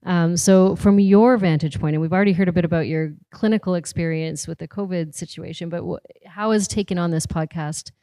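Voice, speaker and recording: A woman's voice: on the low side, fairly even intonation, brisk, hardly any pauses, mellow, clear, resonant tone, dry recording. A woman moderating a live podcast panel, asking chatty, layered questions broken up with fillers.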